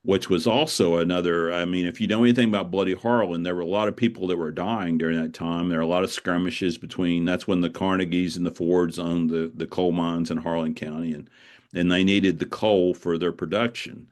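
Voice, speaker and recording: A middle-aged or older man talking casually as an author being interviewed, in a reflective, halting, rambling way. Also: low-pitched, steady tone, a little mellow. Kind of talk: casual conversational interview, storytelling.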